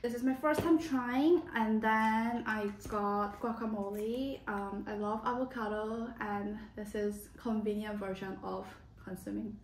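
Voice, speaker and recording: A woman's voice: medium pitch, steady tone, a little slow, few pauses. A young adult woman vlogger chatting casually and informally to the camera in a home kitchen. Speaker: lifestyle vlogger. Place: small home kitchen, indoors. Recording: echoey room, clear background noise.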